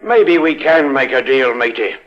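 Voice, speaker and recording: An actor playing a menacing, boisterous dockside gang boss with salty, swaggering sailor talk in a 1950s radio drama. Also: male voice, mid-range voice, lively intonation, normal speed, a bit bright, close to an everyday voice. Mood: menacing, sly. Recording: muffled old radio recording.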